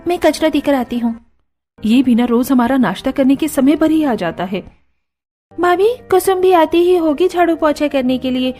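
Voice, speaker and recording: A woman voicing busy, dutiful young daughters-in-law in a cartoon story, talking briskly and practically. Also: high-pitched, dark tone, close to an everyday voice. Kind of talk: Hindi cartoon story dialogue.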